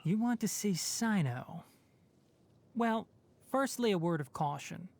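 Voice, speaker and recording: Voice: mid-range voice, lively intonation, slow. An actor voicing a young male fox-eared forest ranger in a video game, articulate and scholarly with a dry, wry delivery. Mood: earnest, advising. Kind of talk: scripted game character voice lines.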